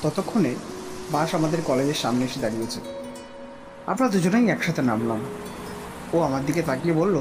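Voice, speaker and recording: A man's voice: fairly high pitch, expressive, a little fast, pauses often, lightly acted. A man acting a polite, easygoing college student who tells his own love story in an audio drama, mixing in English phrases. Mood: narrating. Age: young adult. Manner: casual, mixes English phrases into speech. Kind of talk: Bengali audio story acting and first-person narration. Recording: music under the voice.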